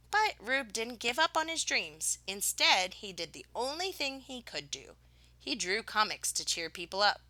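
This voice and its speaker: A woman reads a children's picture book aloud in a bright, forward voice. Her pitch moves up and down in an animated way, and she stresses key words with dramatic pauses. The sound is dry and close, with very little room. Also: no room sound, rough recording.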